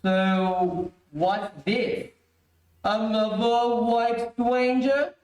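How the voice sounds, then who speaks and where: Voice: medium pitch, steady tone, drawn-out words, a little mellow. An actor playing an imperious, stern rebel prince in an old radio serial, declaiming commands. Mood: stern, commanding. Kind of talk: 1930s radio serial acting. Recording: echoey room, distant microphone.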